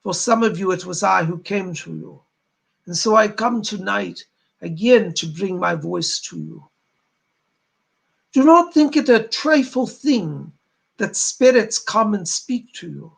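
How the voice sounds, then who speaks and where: A man channels a solemn, benevolent male spirit guide. The voice sits unusually high for a man and is husky, with a creaky edge. He speaks in formal, old-fashioned phrases, like a preacher at a séance. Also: lively intonation, unhurried, heavily acted. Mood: solemn, earnest. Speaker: trance medium speaking as a spirit. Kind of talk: séance address, sermon-like.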